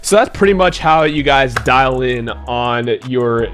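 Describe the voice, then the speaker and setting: Slightly hoarse man giving a casual gaming tutorial. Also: medium pitch, lively intonation, measured pace, a bit bright, soft background music. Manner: casual, talks straight to viewers, many "like" fillers. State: slightly hoarse from losing his voice. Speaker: gaming YouTuber.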